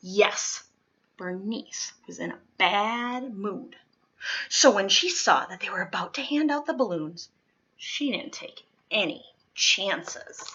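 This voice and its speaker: A woman reading a children's picture book aloud in an expressive, dramatic way, leaning on key words. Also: mid-range voice, lo-fi sound. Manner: dramatic, deliberate storytelling with stressed key words. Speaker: storybook reader.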